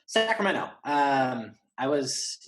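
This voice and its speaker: A man's voice: mid-range voice, sing-song, takes it slowly, a bit bright. A man from Northern California, chatting casually as an interview guest in a rambling, slangy way. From Northern California, United States. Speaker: interview guest, horror journalist. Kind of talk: casual chat.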